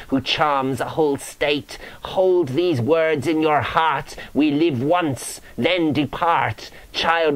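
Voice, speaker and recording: A man's voice: fairly high pitch, pitched above the performer's own voice, low-quality recording. A man voicing a grisly, woeful ghost who solemnly prophesies doom in a dramatic reading of medieval romance verse. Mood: exhorting, mournful. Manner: solemn, incantatory, moaning, preaching.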